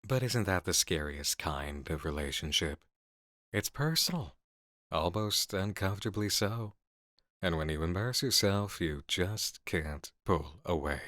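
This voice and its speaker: A man playing an intense, seductive horror game designer in an intimate ASMR roleplay, speaking in deliberate, drawn-out phrases on a close mic. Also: deep voice, expressive, a bit bright, no room sound. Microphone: close studio mic.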